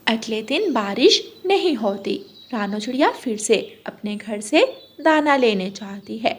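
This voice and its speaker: A woman's voice: fairly high pitch, animated, measured pace, breathy edge. A woman storyteller reading scripted narration for children's stories.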